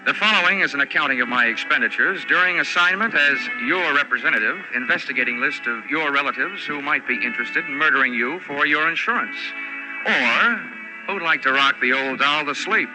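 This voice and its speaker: An actor playing a wry, wisecracking insurance investigator, dryly sardonic yet gallant, in 1940s radio detective style. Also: male voice, a little high, lightly acted, low-quality recording. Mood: sardonic, brisk. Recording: vintage 1940s radio recording.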